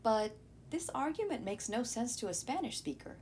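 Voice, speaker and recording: A woman's voice: on the high side, very animated, normal speed, darkish tone, slight background noise. A woman teacher reading a scripted educational narration, explaining things and speaking directly to her students.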